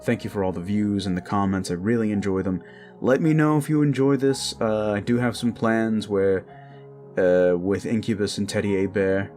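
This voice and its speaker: A man's voice: on the low side, steady tone, moderate pace, darkish tone. A man hosting his own audio channel, chatting casually to his listeners in an informal outro. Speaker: audio creator and channel host. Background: music.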